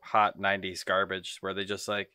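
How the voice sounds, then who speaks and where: A man's voice: sits low, completely flat delivery, moderate pace, bright, forceful tone, clear, resonant tone, no room sound, studio quality. A man co-hosting a podcast, giving blunt opinions in casual conversation. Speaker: podcast co-host. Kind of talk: casual chat.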